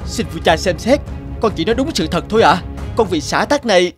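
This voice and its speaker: An actor playing an upright, loving young court official and husband in a cartoon folk tale, speaking earnestly and formally. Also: male voice, high-pitched, expressive, a little fast, faint music behind. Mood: pleading, earnest. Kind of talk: animated folk-tale dialogue.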